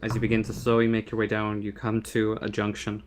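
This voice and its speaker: A man narrating a tabletop game as game master, halting and often repeating his phrases as he improvises. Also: on the low side, monotone.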